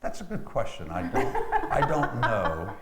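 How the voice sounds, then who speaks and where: A man's voice: lowish voice, sing-song, a little slow. A middle-aged man chatting casually as an actor being interviewed. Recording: echoey room with clear background noise.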